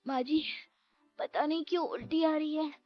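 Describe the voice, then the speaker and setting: A trembling young bride pleading on the dark stairs of a haunted-house cartoon, played by a woman, pitched high, slightly muffled audio. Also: a little slow, faint music behind. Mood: alarmed. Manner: panicked, pleading, stammering. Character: frightened young pregnant daughter-in-law, anxious and tearful.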